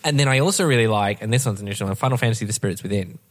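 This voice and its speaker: A man's voice: on the low side, racing. A man hosting a casual film discussion, giving opinions in a slangy, conversational way. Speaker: podcast host.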